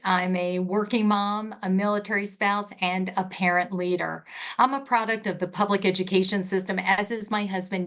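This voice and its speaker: A woman school board candidate giving a crisp, confident, rehearsed opening statement. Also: mid-range voice, fairly bright tone.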